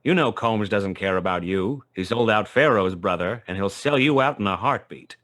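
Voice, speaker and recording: Noir radio play lead: terse, sardonic gumshoe narrator with a low voice and streetwise slang. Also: male voice, expressive, normal speed, a bit bright. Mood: cool, pressing. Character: wry male hard-boiled private detective, narrating his own case. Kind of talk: 1940s noir radio play acting, first-person narration.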